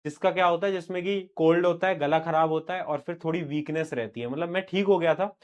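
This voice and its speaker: A young man teaching a live online lecture, casual and teasing, his voice a little rough from a sore throat and a cough. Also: fairly high pitch, a little fast. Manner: informal, teasing, addresses students as beta.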